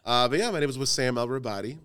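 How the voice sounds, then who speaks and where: A man's voice: medium pitch, lively intonation, fast, a bit bright, smooth. A man in his thirties from Cleveland, a youth pastor talking casually on a podcast with lots of fillers and self-deprecating humor. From Cleveland, Ohio.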